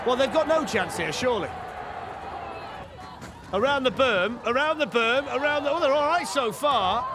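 A man's voice: extremely high pitch, a crowd in the background, noisy background. A man commentating live on a race event, exclaiming at each run as it unfolds. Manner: excitable, quick to exclaim at runs.

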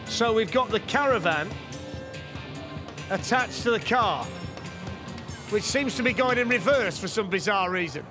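A man's voice: high-pitched, music in the background. A man commentating live on a race event, exclaiming at each run as it unfolds. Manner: excitable, quick to exclaim at runs.

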